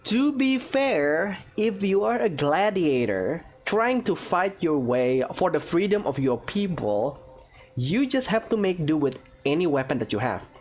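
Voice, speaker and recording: Brief: a low-pitched woman streamer, lively, wry commentary over a game. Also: unhurried. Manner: joking, sarcastic asides. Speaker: gaming channel host. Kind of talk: casual let's-play commentary. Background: game music.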